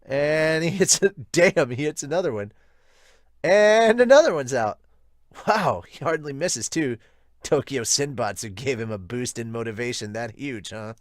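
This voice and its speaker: A man's voice: mid-range voice, lively intonation, a little slow, no echo, clean studio sound. A man hosting a manga review, reading aloud and commenting casually as he goes. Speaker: manga reviewer and podcast host.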